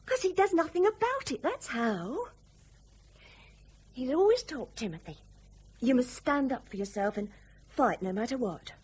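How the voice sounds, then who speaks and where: A woman's voice: high voice, big pitch swings, unhurried, long pauses, a little mellow, husky, full character voice. An actress in a radio drama playing a bitter, trapped wife and mother, fed up and scornful. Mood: resentful, defiant.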